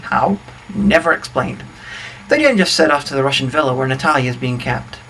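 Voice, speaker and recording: A man's voice: mid-range voice, expressive, fairly quick, bright, forceful tone, soft background music, low-quality recording. A man reading a scripted comedic plot recap as co-narrator, in a dry, wry manner. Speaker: co-narrator of a comedy film review. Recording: faint background noise.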